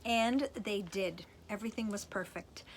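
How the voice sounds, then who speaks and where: A woman's voice: mid-range voice, unhurried, slight background noise. A woman reading a storybook expressively to children, with a playful manner. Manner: playful asides to young listeners.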